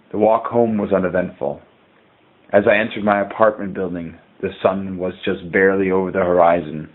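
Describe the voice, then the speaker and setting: A man playing a haunted, dread-filled character who records a horror audio log in grave, deliberate, formal language, with a husky voice. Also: deep voice, phone-quality sound, close to an everyday voice. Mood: sombre, weary. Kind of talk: scripted first-person horror narration.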